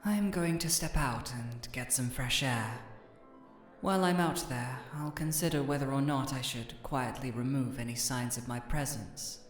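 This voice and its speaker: A woman performs a cool, reserved ice royal with a low voice. The speech is formal and measured, with a deadpan, regal detachment, in the style of a fantasy ASMR roleplay. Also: soft background music. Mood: dry disdain.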